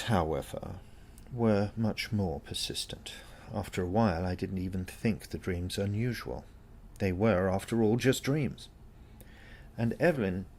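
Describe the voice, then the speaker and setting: Low, creaky-voiced man reading a story. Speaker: story reader. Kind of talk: scripted first-person story narration.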